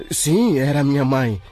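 A man playing a smooth-talking, evasive ladies' man in a radio drama. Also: medium pitch, a little mellow, full character voice.

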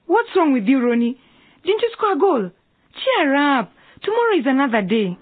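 High, lilting caring young sister. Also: female voice, very animated, normal speed, no room sound, phone-quality sound. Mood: concerned, soothing. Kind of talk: radio drama acting.

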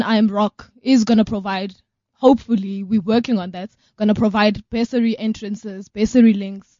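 A woman's voice: medium pitch, warm tone, dry recording, highs cut off. A young woman from South Africa, a guest on a radio show, speaking in plain, direct statements. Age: young adult. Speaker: organization co-founder, guest. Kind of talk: radio interview.

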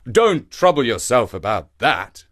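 A man's voice: mid-range voice, very animated, measured pace, a bit bright. A man voicing a friendly but scheming, rather gullible shark in an animal folktale, coaxing and emphatic.

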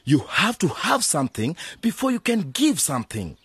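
An actor playing a wary, protective village uncle in a radio drama, with a husky voice and an emphatic manner. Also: male voice, a little high, huge pitch swings, moderate pace, a bit bright, no room sound, full character voice. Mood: skeptical.

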